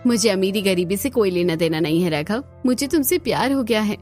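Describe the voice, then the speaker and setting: A woman's voice: medium pitch, expressive, a little fast, a little mellow, soft background music, lightly acted. A woman voicing a kind, earnest young woman, the daughter of a rich company boss.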